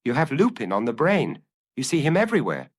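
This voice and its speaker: Guy reading as a dismissive judge in a detective audiobook, argues hard, scornful, pitch moves around a lot. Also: wildly swooping pitch, brisk. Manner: scornful, emphatic reasoning. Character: dismissive, argumentative male judge in a detective story.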